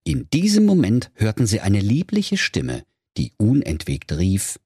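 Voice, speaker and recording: A man's voice: fairly low pitch, huge pitch swings, measured pace, mellow, breathy edge, no echo, clean studio sound. A man narrating a comic fairy tale as a storyteller, in a dramatic storybook manner. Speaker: storyteller, podcast host.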